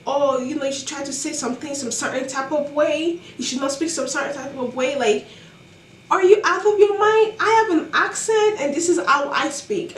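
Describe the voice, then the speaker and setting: A young woman with a non-American accent telling college stories casually, animated and exasperated, with many fillers. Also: high voice, reverberant, far from the mic, a little hiss or hum. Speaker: college student vlogger. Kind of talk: casual storytelling to camera.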